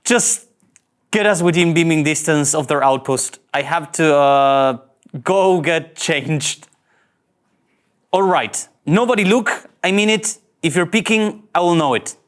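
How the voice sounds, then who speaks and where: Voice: mid-range voice, a little slow, lightly acted. An actor playing a flustered, earnest young male starship officer in a sci-fi cartoon table read, nervous and indignant.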